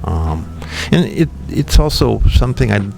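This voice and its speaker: Male founder as podcast guest. Deep, gravelly voice, unhurried and reflective, music bed underneath. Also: sing-song, mellow. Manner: thoughtful, many ums and restarts. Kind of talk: relaxed interview conversation.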